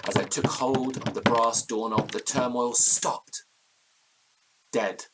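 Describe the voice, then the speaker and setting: An actor playing an anxious, genteel British gentleman who recounts a ghost story in formal, ornate, theatrical phrases. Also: medium pitch, steady tone, takes it slowly, very noisy, close to an everyday voice. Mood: fearful, suspenseful. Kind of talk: audio drama storytelling, period ghost tale.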